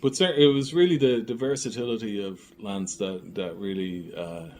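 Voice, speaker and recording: A man in a casual interview, speaking as a creative guest in a reflective, halting way. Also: fairly low pitch, a little mellow. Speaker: audio drama creator and filmmaker, interview guest. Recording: background noise, room ambience.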